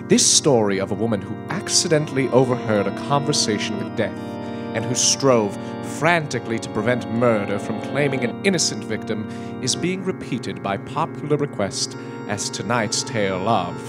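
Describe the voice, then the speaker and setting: Over prominent music, a man with a mid-pitched voice works as a radio announcer. His delivery is formal and scripted, in the manner of a 1940s suspense introduction, in a live recording. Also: expressive, moderate pace.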